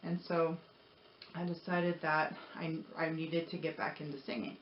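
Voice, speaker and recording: A woman's voice: deep voice, flat delivery, moderate pace. A woman telling her personal story in a casual, motivational vlog style, conversational and repeating phrases for emphasis. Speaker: vlogger. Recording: roomy echo, dull sound, faint noise.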